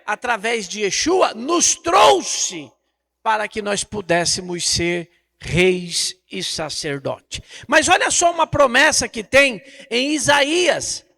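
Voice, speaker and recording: High-pitched, animated Brazilian man preaching. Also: gravelly. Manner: emphatic, didactic, rhetorical questions and repetition. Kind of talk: Bible teaching sermon.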